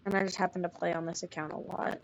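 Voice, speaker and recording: A woman's voice: fairly low pitch, steady tone, fast, creaky, dull audio, a little hiss or hum. A woman streaming live, chatting casually as she plays, with game music behind her. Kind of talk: casual chat while playing a game. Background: video game music.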